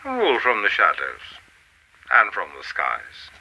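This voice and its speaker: Man playing a cold, sharp-voiced alien ruler. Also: lowish voice, huge pitch swings, vocal fry, breathy edge, full character voice, low-quality recording. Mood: measured, ominous. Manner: formal, measured, imperious. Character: cold, commanding alien ruler secretly breeding with humans. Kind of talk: radio play acting.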